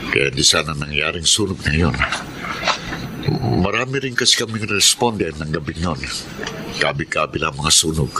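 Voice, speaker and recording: A man's voice: rumbling low, lively intonation, normal speed, pauses often, noisy background. An actor playing a steadfast, loving husband and father in a radio drama, with an earnest, solemn delivery. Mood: regretful.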